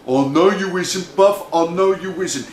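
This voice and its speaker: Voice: mid-range voice, unhurried, roomy sound, a little hiss or hum, voice pushed up, close to an everyday voice. A storyteller of unclear sex voicing a streetwise big brother mouse with a British urban slang accent and a knowing manner. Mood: agreeing.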